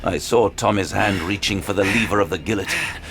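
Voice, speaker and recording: Deep, creaky-voiced haunted detective. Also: male voice, fairly quick. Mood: tense, pained. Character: haunted, wounded male detective narrating a grim horror thriller. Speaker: narrator and lead character. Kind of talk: first-person dramatic audio drama narration. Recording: loud music bed throughout.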